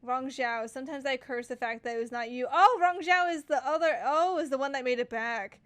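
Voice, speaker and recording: A woman's voice: high-pitched, fairly quick, fairly bright tone, clean tone. A woman gaming streamer reading game text aloud during a playthrough, casually stumbling over words and breaking off to comment.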